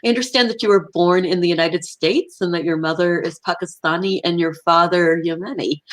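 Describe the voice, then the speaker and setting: A woman hosting an interview show, reading a formal introduction and asking measured questions. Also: lowish voice, fairly bright tone. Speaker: host.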